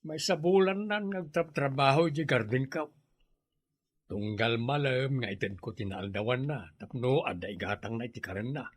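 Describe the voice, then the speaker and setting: A man's voice: medium pitch, normal speed, no room sound, clean studio sound, natural voice. A man with a Filipino Ilocano accent playing an older, practical, obliging village employer in a radio comedy drama. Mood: reflective.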